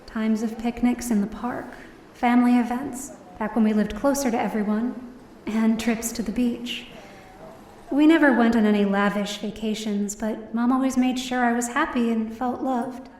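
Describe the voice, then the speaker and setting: A woman's voice: a little high, steady tone, normal speed, darkish tone, a little hiss or hum, close to an everyday voice. A woman playing a weary, worried daughter, narrating her own story in an audio drama in a subdued, reflective way. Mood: reflective, sad. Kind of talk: first-person scripted narration in audio drama. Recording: roomy, distant mic, faint music behind.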